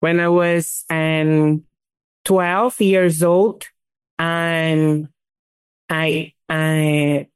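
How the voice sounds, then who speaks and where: Artist guest, woman, halting English, low and clear. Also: level tone, dry recording. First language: Portuguese. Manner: halting, searches for English words.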